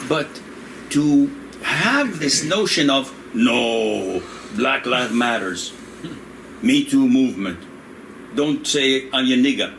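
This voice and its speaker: An older man, a religious scholar, answers questions in a loose, conversational way, wandering between points and breaking into laughter. His voice sits at an ordinary pitch. A steady hiss of room noise and air conditioning sits behind him. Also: takes it slowly, rough recording. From Indonesia. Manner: digressive, joking, laughs often. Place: room in Saudi Arabia.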